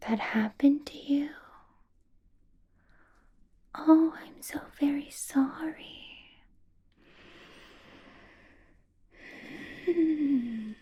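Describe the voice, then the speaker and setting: A woman playing a possessive, menacingly sweet vampire boss in a whispered ASMR roleplay, coaxing and polite with drawn-out words. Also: sits high, dark tone, voice pushed up. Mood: protective, soothing. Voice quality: breathy whisper.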